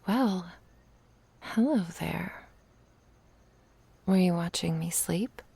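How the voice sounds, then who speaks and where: A woman playing an affectionate young witch in an intimate ASMR roleplay, speaking softly, tenderly and playfully, often in a whisper. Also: on the low side, extremely slow, dry recording, exaggerated voice. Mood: sleepy, tender. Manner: soft, breathy, tender murmuring with little hums.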